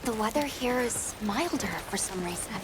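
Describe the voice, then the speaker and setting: A woman as a high-voiced, courteous young expedition leader. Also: lively intonation, normal speed, background noise, natural voice. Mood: curious, polite. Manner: courteous, articulate. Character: polite, composed young woman leading a field expedition. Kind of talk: video game cutscene acting. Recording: very loud music behind the voice.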